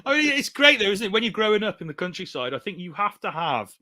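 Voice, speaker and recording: A man's voice: high-pitched, big pitch swings, quick pace, pushed and bright, no echo. A man co-hosting a live podcast, chatting and reminiscing in a casual way.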